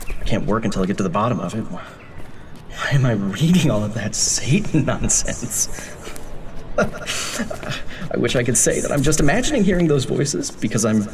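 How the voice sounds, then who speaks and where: An actor playing an anxious, sleepless city architect who stammers in an audio drama. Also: male voice, mid-range voice, big-room sound, a little hiss or hum, close to an everyday voice. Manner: stammering, rattled.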